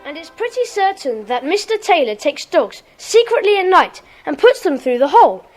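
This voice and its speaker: A keen young schoolgirl detective in an audio play for children. Her voice is very high and lively, with an earnest, questioning manner. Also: moderate pace, faint music behind, low-quality recording. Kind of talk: children's audio drama acting.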